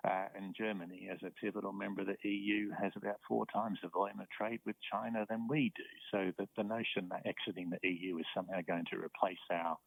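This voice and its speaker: Deep gravelly Australian man. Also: little pitch movement, no echo, narrow, tinny audio. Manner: measured and explanatory, often repeats words when starting a point. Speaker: university professor guest. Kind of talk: radio interview, explaining politics.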